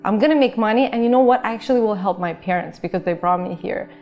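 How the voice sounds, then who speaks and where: A woman from Azerbaijan whose first language is Russian, talking casually and earnestly as an interview guest, telling stories in an informal, expressive way. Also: medium pitch, fast, muffled recording. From Azerbaijan, former Soviet Union. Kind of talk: casual conversational storytelling. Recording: music behind the voice. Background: background music.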